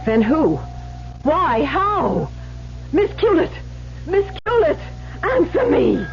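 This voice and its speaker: A murderous, scheming wife in a radio mystery. She speaks very slowly in a fairly high voice, with vintage radio drama delivery. The sound is dull, like an old broadcast. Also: sing-song, creaky, background noise, full character voice. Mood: agitated, demanding. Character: cold, scheming murderous wife who crumbles into frightened pleading.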